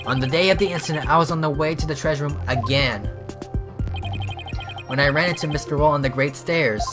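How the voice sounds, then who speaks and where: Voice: mid-range voice, a little fast, natural voice. A game player voicing an earnest young male defense lawyer while reading game dialogue aloud, pleading and sincere. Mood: thoughtful. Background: game music.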